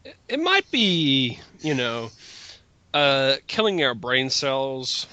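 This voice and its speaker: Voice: mid-range voice, big pitch swings, takes it slowly, bright, forceful tone, no room sound, dull audio. A person speaking bluntly and mockingly in a casual group critique, swearing freely. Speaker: online video commentator.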